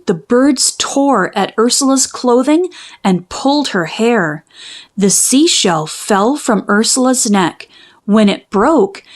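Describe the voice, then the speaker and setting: A woman narrating a children's storybook in an expressive, measured storytelling manner. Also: a little high. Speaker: children's book read-aloud narrator.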